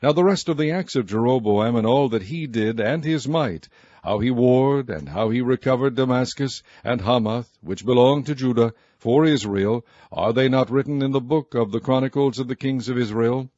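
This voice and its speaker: A man reading scripture aloud in a measured, formal way. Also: medium pitch, dark tone, no echo, highs cut off. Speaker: Bible reader.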